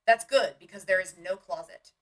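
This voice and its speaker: A woman's voice: mid-range voice, normal speed, distant mic, close to an everyday voice. A woman playing a brusque, jaded young city roommate in a motion comic, curt and bossy.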